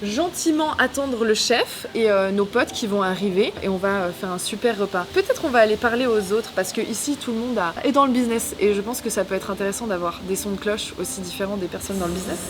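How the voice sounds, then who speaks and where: A woman's voice: mid-range voice, fast talker, few pauses. A young woman vlogger and business coach talking casually to the camera and to friends, informal and full of fillers. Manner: chatty, informal, many fillers. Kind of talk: casual vlog chat and interviews.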